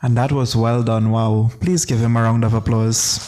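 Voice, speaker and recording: Trinidadian man, flat-toned emcee. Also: mid-range voice, moderate pace, noisy background. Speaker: memorial service emcee. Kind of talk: introducing speakers at a memorial.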